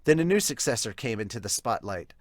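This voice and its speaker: A man voicing a solemn, earnest storyteller who narrates an epic cartoon prologue with measured emphasis. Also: mid-range voice, lively intonation, dry recording, clean studio sound, voice pushed down, natural voice.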